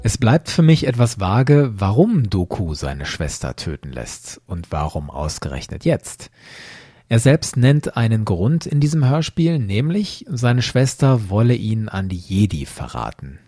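A man's voice: lowish voice, warm tone, husky, no room sound, clean studio sound. A man hosting a podcast, explaining in a didactic way with emphatic phrasing. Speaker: podcast host.

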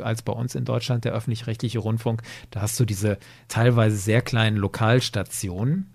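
A man's voice: fairly low pitch, darkish tone. A man hosting a podcast, talking casually and enthusiastically in conversation. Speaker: podcast host.

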